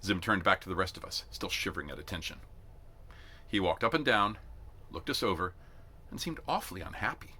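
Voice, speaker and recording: A man reading a novel aloud as an amateur narrator, in an expressive storytelling style. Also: sits low, fairly quick, pauses often, husky. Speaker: amateur reader.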